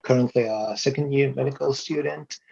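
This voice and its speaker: A man from Iraq, a medical student, introducing himself on a panel in a halting way with many fillers. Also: medium pitch, creaky, darkish tone, breathy edge. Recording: dull lo-fi audio.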